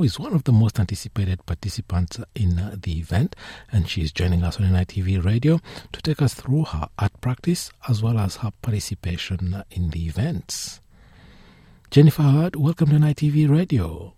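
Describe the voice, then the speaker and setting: A man hosts a radio interview, his voice low and slightly husky. The sound is dry and close to the mic. He pauses often and fills gaps with uh's while introducing his guest and asking questions. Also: sing-song, dark tone, dry recording. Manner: hesitant, frequent uh fillers. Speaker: radio host.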